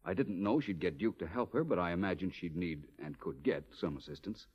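An actor playing a suave, self-assured detective in 1940s radio drama style, with a smooth, wry, deliberate delivery. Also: male voice, low voice. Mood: calmly explaining. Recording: muffled old 1940s radio recording.

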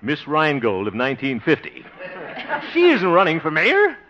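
An American actor playing a flustered, impatient small-town mayoral candidate in a 1950s radio comedy, exasperated and chuckling. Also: male voice, on the high side, huge pitch swings, normal speed, lo-fi sound. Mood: harried. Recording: noisy 1950 radio recording, studio audience.

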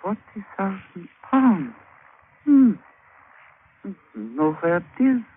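A man's voice: high-pitched, sing-song, breathy edge, narrow, tinny audio, full character voice. An actor playing a sly, jovial, scheming island witch doctor who teases in broken English in 1950s radio drama style. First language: a language of Papua. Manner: broken English, teasing, unhurried. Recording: thin, hissy old radio broadcast.

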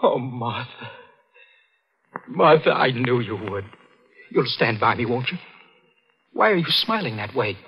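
An actor playing a guilt-ridden, panicky young murderer in a melodramatic, stammering style for an old-time radio suspense drama. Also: male voice, medium pitch, big pitch swings, measured pace, pauses often, husky, highs cut off, exaggerated voice. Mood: desperate confession.

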